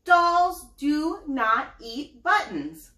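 Bossy whiny girl piglet, as a woman reads her, high and bright, for a storybook. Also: very animated, drawn-out words, roomy sound, heavily acted. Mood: exasperated. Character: bossy impatient young girl pig, big sister.

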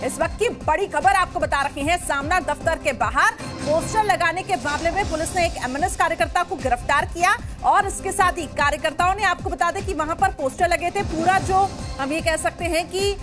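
A woman presents live breaking news in a very high-pitched voice. She stresses and repeats her headlines. Prominent news music plays underneath. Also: moderate pace. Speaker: news anchor. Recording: loud dramatic news music behind. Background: dramatic news music.

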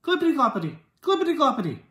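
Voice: high-pitched, sing-song, very fast, pushed and bright. A storytime host reading a children's picture book aloud, acting out the dialogue expressively. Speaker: storytime reader. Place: living room. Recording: roomy indoor echo.

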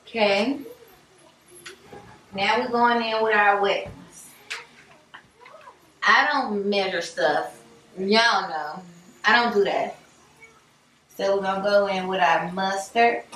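Slow-talking young American woman, roomy kitchen. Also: mid-range voice, fairly even intonation, a bit bright. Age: young adult. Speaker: cooking vlog host. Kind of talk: casual home cooking chat. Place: home kitchen, indoors. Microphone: far camera microphone. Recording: roomy, distant, noisy kitchen recording.

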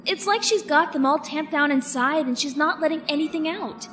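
A woman's voice: high voice, normal speed, fairly bright tone, slight background noise. A woman playing a caring young crew member in an audio drama, speaking casually and expressively. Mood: worried.

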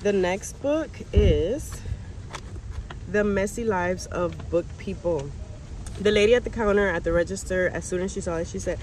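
A woman's voice: medium pitch, normal speed, a little mellow, poor audio. A young woman with an American accent talks in a casual, chatty vlog style. She is recorded on a phone held at arm's length inside a car. There is faint noise behind her voice. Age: young adult. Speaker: vlogger. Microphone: handheld phone at arm's length.

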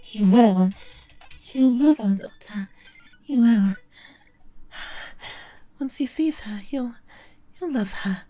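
A voice actress playing an obsessive, desperate, unravelling woman in a dramatic ASMR audio roleplay, muttering haltingly to herself. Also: on the high side, dark tone, faint music behind. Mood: muttering, hushed. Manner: halting, muttering to herself, swinging between whispers and outbursts.

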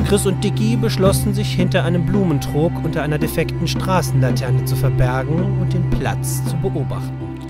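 A man reading scripted narration as the narrator of a children's audio drama. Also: a little high.

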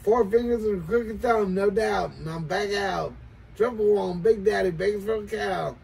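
An older American man calling out on CB radio in showy, slangy banter, repeating catchphrases, with a gravelly voice. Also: sits high, moderate pace, crowd noise. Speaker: CB radio operator. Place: small cluttered indoor radio room or shed. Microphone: desk CB microphone in hand, filmed from across room.

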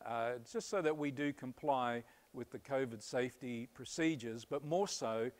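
A man in his fifties with an Australian accent, a pastor giving earnest, upbeat church announcements on a stage, pausing often on "uh", with a lapel mic. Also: mid-range voice. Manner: earnest, upbeat, many "uh" fillers. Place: church stage before a curtain.

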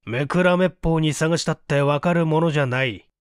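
A man's voice: fairly high pitch, brisk, smooth, no room sound, clean studio sound, natural voice. A man voicing a smug, eager young man in a storybook reading, confident and teasing.

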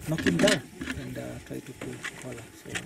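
Kenyan man, creaky voice, noisy field recording. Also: medium pitch, a little fast. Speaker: wildlife veterinarian leading a field team. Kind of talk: explaining and directing fieldwork. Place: open savanna beside vehicles. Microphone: handheld camera at some distance. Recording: distant outdoor voice, heavy field noise.

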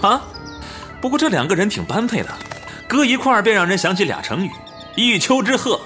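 A man's voice: high-pitched, very animated, a little fast, pushed and bright, soft background music, full character voice. Man playing a crude, swaggering bandit chief. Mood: angry mocking. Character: coarse, jealous, hot-tempered young male bandit chief. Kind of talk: Chinese period audio drama acting.

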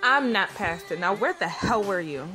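A woman's voice: mid-range voice, expressive, moderate pace, bright, forceful tone, faint music behind, low-quality recording. A woman playing an angry, distrustful and stubborn wife in an audio drama. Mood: irritated, confrontational. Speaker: actor and narrator in an audio drama.

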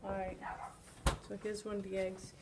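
A woman's voice: medium pitch, completely flat delivery, normal speed. A middle-aged woman with a New England accent, chatting through a hands-on bread-making demonstration in her home kitchen, talking while she kneads. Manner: chatty, coaxing, talks while kneading dough by hand. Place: home kitchen with children around. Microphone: phone camera held by another person. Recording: noisy home video.

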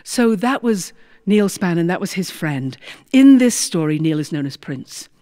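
Female storyteller, animated and expressive, emphatic delivery with wide pitch movement, close dry sound. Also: no echo. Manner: expressive, dramatic pauses and emphatic repetition. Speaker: storyteller, former prison chaplain. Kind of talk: live-style personal storytelling with acted dialogue.

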